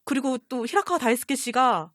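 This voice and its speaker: A woman's voice: high-pitched, animated, brisk, fairly bright tone, no echo, studio quality. A young woman in casual conversation, telling personal anecdotes in an effusive, self-deprecating way. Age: young adult. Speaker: guest, fan of voice actors.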